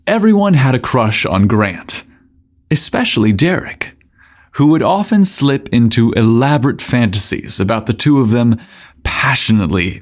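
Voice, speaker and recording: A man hosting a podcast and narrating a scripted story in a theatrical, suggestive way. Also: mid-range voice.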